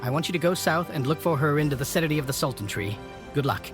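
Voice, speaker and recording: Actor as a formal, higher-pitched dutiful station master. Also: male voice, expressive, racing, over music, natural voice. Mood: relieved, purposeful. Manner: formal, courteous, grave. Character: earnest, dutiful male station master guarding a noble secret. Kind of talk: scripted video game cutscene acting.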